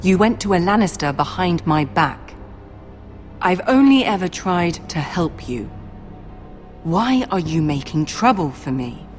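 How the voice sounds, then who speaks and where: A stern young lady of a noble house, her voice a little low. She speaks formally, in clipped commands, with quiet music under her, as in a fantasy game drama. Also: sing-song, unhurried. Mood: stern, reproachful. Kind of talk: video game drama acting. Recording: soft music behind.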